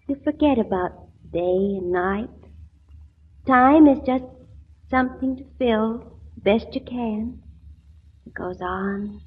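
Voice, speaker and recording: An actress in an old radio drama playing a wistful, resigned young invalid in a tenement, speaking in an earnest, measured way. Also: female voice, mid-range voice, breathy edge. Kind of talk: 1940s radio drama acting. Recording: muffled old radio recording, music behind.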